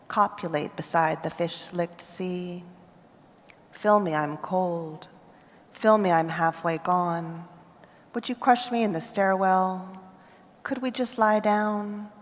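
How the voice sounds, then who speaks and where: An American woman reads her poetry slowly and deliberately, letting each line settle before the next. Her voice sits a little low, and a long reverberant hall tail trails after her words. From United States. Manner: measured, deliberate phrasing with pauses between lines. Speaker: poet. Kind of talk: poetry reading. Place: festival reading hall.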